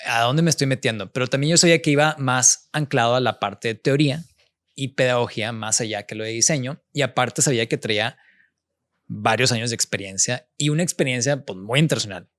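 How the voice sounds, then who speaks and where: A man, a guest architect telling stories in a relaxed interview chat. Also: mid-range voice, fairly quick, no echo, studio quality. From born in the United States, raised Mexican. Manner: relaxed storytelling, mixes in English words. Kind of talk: casual interview conversation.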